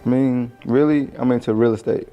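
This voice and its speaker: A man's voice: mid-range voice, steady tone, fairly quick, clear, resonant tone. A man chatting casually and flirtatiously in a teasing, slangy way. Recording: muffled video clip with music.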